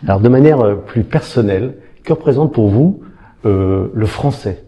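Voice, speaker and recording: A man's voice: on the low side, expressive, normal speed, warm tone, a little hiss or hum. A man interviewing formally and courteously, putting questions to his guest. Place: an ambassador's residence.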